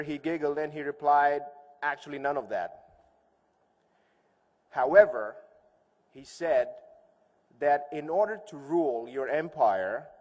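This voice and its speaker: A man's voice: a little high, darkish tone. A man giving an informal public speech as a conference speaker, conversational, telling stories and posing rhetorical questions. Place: large hall, speaking to an audience. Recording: echoey hall with long reverb.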